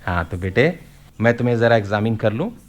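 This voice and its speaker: An actor playing a genial, avuncular family doctor in a radio play, jovial and professional, mixing in English phrases. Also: male voice, lowish voice, lively intonation, fairly quick, lightly acted.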